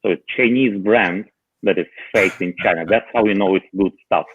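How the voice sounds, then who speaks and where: Deep-voiced man on a tinny line. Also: normal speed, husky, dry recording. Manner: hesitant, many uh fillers, dry humor. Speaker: panel guest. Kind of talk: casual tech chat on a call.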